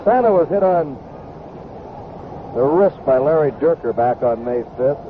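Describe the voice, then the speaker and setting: American guy calling baseball live, higher voice, short clipped calls, thin noisy old broadcast sound. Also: lively intonation, a little slow. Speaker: baseball play-by-play announcer. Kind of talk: live sports commentary. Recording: tinny, noisy vintage broadcast.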